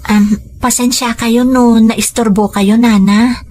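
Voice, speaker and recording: A woman playing a kind, polite young woman in a radio drama, speaking gently with an Ilocano accent. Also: fairly high pitch, fairly even intonation, takes it slowly.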